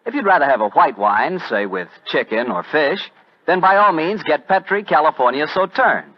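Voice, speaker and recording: Animated American vintage radio announcer man. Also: fairly high pitch, normal speed. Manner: folksy, chatty salesmanship. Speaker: announcer and sponsor pitchman. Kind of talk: 1940s radio advertising and hosting. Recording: muffled vintage radio recording.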